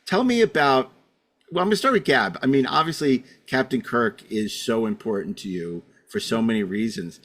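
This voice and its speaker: A man's voice: medium pitch, lively intonation, moderate pace, fairly bright tone. A man hosting a podcast in a casual, wry and opinionated conversational style. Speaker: podcast host. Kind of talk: casual podcast interviewing and chat.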